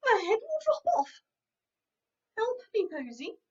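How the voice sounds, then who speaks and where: A woman's voice: extremely high pitch, steady tone, very slow, dark tone, pitched above the performer's own voice, exaggerated voice. Woman doing a wailing, weepy storybook cow. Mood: distressed. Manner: wailing and pleading. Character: dramatic, weepy female cow stuck in a fence. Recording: distant, dull lo-fi.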